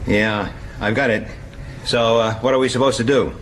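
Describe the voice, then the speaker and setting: A laconic man playing an American naval officer in a radio drama. His voice is medium in pitch, the delivery dry and unhurried, with clearly audible ambient noise. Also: steady tone, fairly bright tone, natural voice, rough recording. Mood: wry, relaxed. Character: laconic, easygoing male American navy captain. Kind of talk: naturalistic radio drama acting.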